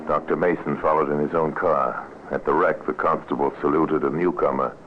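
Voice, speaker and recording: A grave crime storyteller with a profoundly deep voice. He narrates ominously in old-time radio mystery style. The recording is thin and narrow, with some hiss. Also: steady tone, a little fast. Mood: grave, measured.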